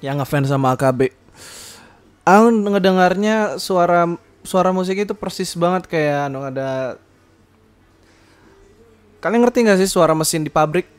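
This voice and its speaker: A man's voice: sits high, animated, normal speed, pauses often, clear, resonant tone. A young man hosting a casual podcast, rambling with many fillers and self-corrections and laughing often. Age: young adult. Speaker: podcast host. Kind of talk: casual solo podcast chat.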